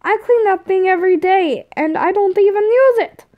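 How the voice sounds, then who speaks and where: A woman's voice: extremely high pitch, normal speed, phone-quality sound, pitched above the performer's own voice. A woman voicing a cheeky, stubborn little boy in a story, with a whining, pleading, childish manner. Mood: whiny, petulant.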